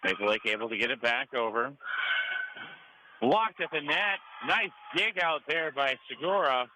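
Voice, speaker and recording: A man's voice: medium pitch, expressive, normal speed. American man calling sports, gym noise behind. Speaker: radio play-by-play commentator. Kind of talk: live volleyball play-by-play commentary. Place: indoor school gym during a match. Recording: noisy gym ambience behind commentary.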